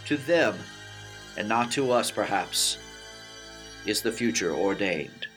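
A man's voice: fairly low pitch, animated, slow, a bit bright, soft background music. A man playing an earnest, easily exasperated radio broadcaster in a comic 1930s-style radio play, with theatrical delivery. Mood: solemn. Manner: theatrical, swings between incredulity and solemn oration. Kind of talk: 1930s radio play acting, comedy spoof.

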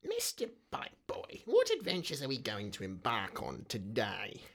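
A sarcastic talking computer in a radio drama, bright and piercing, with pitch that leaps all over. The sidekick is cheeky and theatrical, tossing off deadpan jibes. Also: male voice, measured pace, creaky, soft background music, full character voice. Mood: sarcastic, playful.

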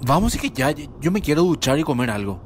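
A man's voice: fairly high pitch, big pitch swings, a little fast, slight background noise. Offhand young Paraguayan student played by a man. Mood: dismissive. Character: dismissive, laid-back young male university student. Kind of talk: audio drama dialogue.

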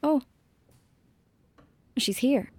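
A woman's voice: very slow, a little mellow. A woman playing an anxious, imaginative young girl from rural Ireland in an audio drama, with an Irish accent and blunt, colloquial talk. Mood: quiet, curious. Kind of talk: naturalistic audio drama acting.

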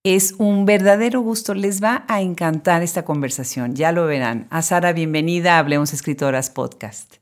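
A woman's voice: lowish voice, moderate pace, hardly any pauses. A woman hosting a podcast interview, reading a formal introduction and then chatting in a friendly way. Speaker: podcast host.

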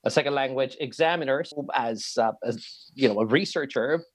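A man's voice: medium pitch, breathy edge, dry recording. A man who speaks English as a second language, answering as a researcher and educator in a podcast, with many uh fillers. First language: not English. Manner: halting, frequent uh fillers.

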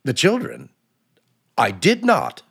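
A man's voice: fairly high pitch, wildly swooping pitch, slow pace, creaky, fairly bright tone, husky, full character voice. A man playing an exasperated, flustered husband in 1940s radio comedy style. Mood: indignant.

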